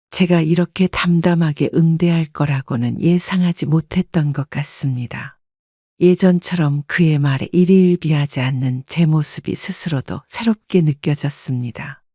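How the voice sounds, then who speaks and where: A woman narrating a first-person story in a calm, measured way. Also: low voice, no echo, clean studio sound.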